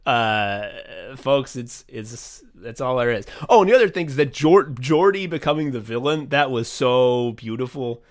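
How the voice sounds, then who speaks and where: A young man chatting casually as a podcast co-host, rambling excitedly and swearing often as he walks through his own thinking. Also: mid-range voice, measured pace, few pauses. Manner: rambling, profane, excitable, acts out his own thought process. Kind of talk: casual behind-the-scenes chat.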